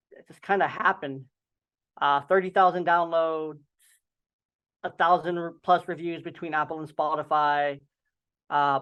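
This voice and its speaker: A man's voice: fairly high pitch, clean tone. Young man with a slight lisp. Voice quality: slight lisp and occasional stutter. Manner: rambling, filler-heavy storytelling. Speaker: podcast host and guest. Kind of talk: casual video-call interview. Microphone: video call. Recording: dull lo-fi video call audio.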